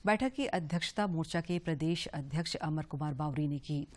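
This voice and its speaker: A woman news anchor reading regional news in a steady, formal delivery. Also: low-pitched, normal speed.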